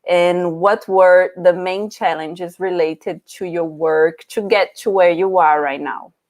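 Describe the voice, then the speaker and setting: Woman host, low voice, slow halting questions, many fillers. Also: steady tone, darkish tone. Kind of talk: interview questions.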